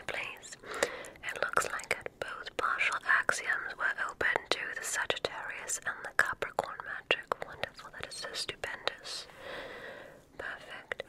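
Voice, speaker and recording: Slow whispering ASMR woman as gentle witch. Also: poor audio. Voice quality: breathy whisper. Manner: gentle, deliberate, softly instructing. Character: kindly witch examiner at a magic school. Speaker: ASMR roleplay performer. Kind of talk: whispered ASMR medical exam roleplay.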